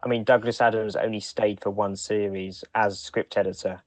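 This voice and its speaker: A man co-hosting a podcast in casual discussion, speaking in a halting way with frequent restarts. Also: fairly low pitch, flat delivery, a little mellow, dry recording. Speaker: podcast co-host.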